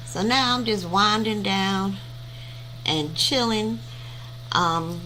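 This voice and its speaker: Slow-talking bright-voiced woman in her fifties. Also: medium pitch, a little hiss or hum, rough recording. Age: 50s. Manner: rambling and chatty, thanks viewers by name. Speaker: livestream host. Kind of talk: casual livestream chat.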